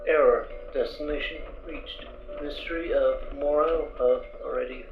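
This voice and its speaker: A man's voice: mid-range voice, fairly even intonation, normal speed, vocal fry, over loud music, lightly acted. A man voicing the computer of a protective suit, speaking in clipped robotic announcements. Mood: stiff. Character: flat-spoken male computer voice of a suit. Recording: roomy, distant, lo-fi amateur mic.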